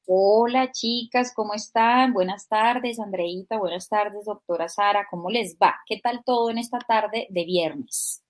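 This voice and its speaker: A woman's voice: mid-range voice, measured pace. Chatty woman podcast co-host. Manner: warm, chatty, polite questions with fillers. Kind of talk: casual podcast interview.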